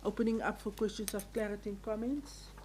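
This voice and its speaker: A woman's voice: medium pitch, level tone, a little fast, a little mellow, a little hiss or hum, rough recording. Formal woman chairing council. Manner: formal, courteous, procedural. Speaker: council speaker chairing the meeting. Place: council chamber.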